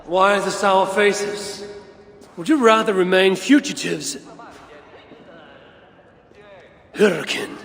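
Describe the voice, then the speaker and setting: A man's voice: high voice, slow pace, creaky, a bit bright, heavily acted. An actor playing a wrathful, commanding god and vengeful father in a grand, menacing, theatrical game performance. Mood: imperious. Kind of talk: dramatic game cutscene acting. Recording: long reverb, music behind.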